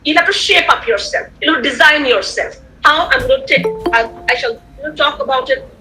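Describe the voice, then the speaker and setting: A woman whose first language is Tamil, giving an animated online lecture to students, repeating points and checking that they follow. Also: sits high, brisk, faint music behind, rough recording. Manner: animated, repetitive, rhetorical questions to listeners. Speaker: guest lecturer. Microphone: computer mic on a video call.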